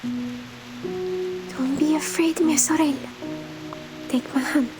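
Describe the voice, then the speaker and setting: Tragic game heroine, tender and pleading, high voice, slow delivery, music bed. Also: female voice, steady tone, poor audio. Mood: tender, soothing. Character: tender, sorrowful, devoted young Italian sister. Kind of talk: scripted game character acting. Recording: music behind the voice.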